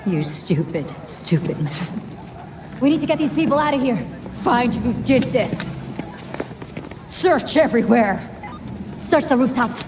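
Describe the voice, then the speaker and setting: Tough, high-voiced police boss. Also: female voice, lively intonation, measured pace, long pauses. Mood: bitter anger. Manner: stern, blunt. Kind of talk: dramatic video game acting. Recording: reverberant scene with music and crowd.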